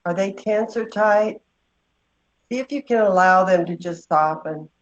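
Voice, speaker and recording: A woman leading a guided meditation, giving slow, gentle instructions with long pauses. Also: medium pitch. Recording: dull, muffled lo-fi sound.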